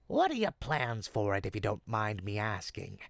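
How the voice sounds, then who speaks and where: A performer voicing a sly, smooth-talking goblin potion peddler in an audio roleplay, with folksy, teasing salesman patter. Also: mid-range voice, very animated, normal speed, dry recording. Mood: confiding, curious. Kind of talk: audio roleplay, in-character monologue to listener.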